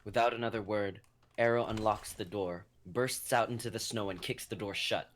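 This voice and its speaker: A man's voice: fairly low pitch, steady tone, normal speed, slight background noise. A young man narrating a scripted drama in the present tense as the reader of stage action. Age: young adult.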